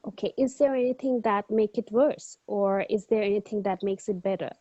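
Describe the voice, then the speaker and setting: A woman's voice: medium pitch, moderate pace, dark tone, no room sound, lo-fi sound. A woman acting as a doctor in a mock exam, taking a clinical history politely and hesitantly, with many fillers. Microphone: video call.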